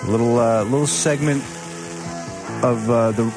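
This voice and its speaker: A man's voice: medium pitch, steady tone, a little slow. A man hosting a radio show in casual music trivia banter, rambling and full of jokes and puns. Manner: joking, with puns and rambling asides. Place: radio studio.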